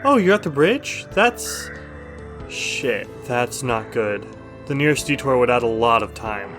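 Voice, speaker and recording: A man's voice: medium pitch, big pitch swings, measured pace, music in the background. An upbeat apocalypse radio host, male. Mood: worried. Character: upbeat, chatty man running a survivors' radio show in zombie apocalypse. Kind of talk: audio drama acting, casual dialogue.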